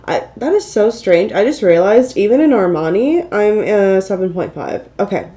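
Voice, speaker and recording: Slightly congested, mellow-voiced woman vlogger. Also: mid-range voice, lively intonation, moderate pace, slight background noise. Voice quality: slightly nasal. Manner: chatty, rambling, self-deprecating, exclaiming. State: mildly congested from a cold. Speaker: beauty vlogger, channel host. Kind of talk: casual get-ready-with-me makeup chat.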